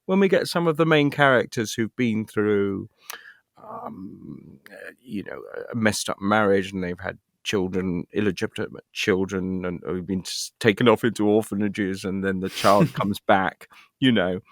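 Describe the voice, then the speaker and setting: A man's voice: fairly low pitch, creaky, dry recording, clean studio sound. A middle-aged man explaining his work conversationally as an interview guest, often repeating words as he searches for the next one. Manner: halting, stammered repetitions as he searches for words. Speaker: interview guest, actor and writer.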